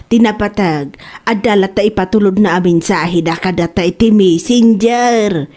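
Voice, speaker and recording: A woman's voice: mid-range voice, unhurried, mellow, lightly acted. A woman playing a fretful, elderly wife and mother in an Ilocano comedy radio drama, with an Ilocano Filipino accent. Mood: wistful, hopeful.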